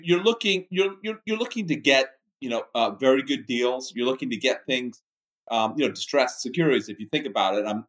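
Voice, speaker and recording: A man in his fifties, originally from the Bahamas, speaks as an interview guest at a middle pitch. He talks in halting bursts, full of fillers and repeated words, often trailing off quieter. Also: poor audio. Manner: halting, many restarts and fillers. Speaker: guest, business executive. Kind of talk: casual interview answers.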